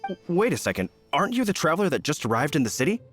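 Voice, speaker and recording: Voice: medium pitch, sing-song, very fast, soft background music, close to an everyday voice. An actor voicing a polite, earnest city guard knight in a game, courteous and formal. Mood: suspicious. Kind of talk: game character dialogue.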